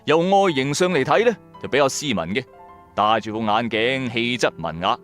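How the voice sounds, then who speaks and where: A man narrating a radio drama in the first person, colloquial, wry and expressive. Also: medium pitch, normal speed, soft background music. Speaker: storyteller, narrator.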